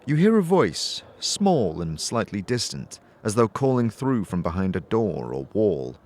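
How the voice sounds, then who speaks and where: A man's voice: lowish voice, sing-song, a little slow, a little mellow. A man narrating a fantasy story to the listener as game master, in a scripted, dramatic style. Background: background music.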